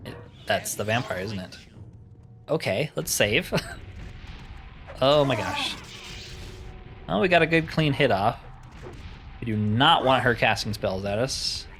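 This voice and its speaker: A man's voice: medium pitch, expressive, measured pace, long pauses, a bit bright, faint music behind. Casual man narrating his gameplay. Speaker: gaming video host. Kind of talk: casual game playthrough commentary.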